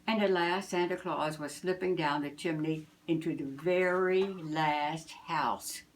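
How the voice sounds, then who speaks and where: An older woman, a grandmother, reading a children's storybook aloud in a deliberate, expressive way. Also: deep voice, a little hiss or hum.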